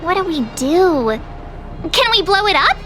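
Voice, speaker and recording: A woman voicing a perky, teasing teenage girl adventurer in an anime-style video game, animated and cutesy. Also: extremely high pitch, measured pace, slight background noise, full character voice. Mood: puzzled. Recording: loud game music underneath. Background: game cutscene music.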